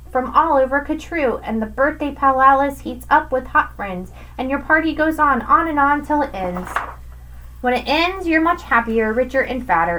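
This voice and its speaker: A woman in her thirties reading rhyming children's verse aloud like a teacher, in an expressive, emphatic sing-song storytelling manner. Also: sits high, rough recording. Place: small indoor room with bookshelves.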